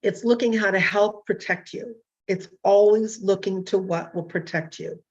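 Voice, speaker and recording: A hoarse woman in her fifties hosting a casual solo talk show, rambling and self-deprecating with many fillers and restarts. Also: mid-range voice, moderate pace, a little mellow, muffled recording. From California. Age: 50s. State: hoarse from illness.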